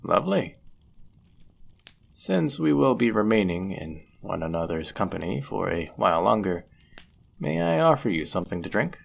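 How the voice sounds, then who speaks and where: A man acting a deep-voiced, courteous medieval innkeeper. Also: very animated, vocal fry, husky, exaggerated voice. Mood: gentle, courteous. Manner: gentle, polite, measured, formal wording. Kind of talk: intimate roleplay audio acting, formal old-fashioned speech.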